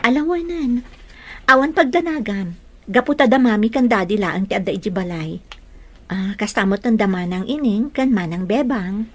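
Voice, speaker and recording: A woman's voice: medium pitch, moderate pace, close to an everyday voice. A woman acting a sweet, reassuring young woman in love in a radio-style drama.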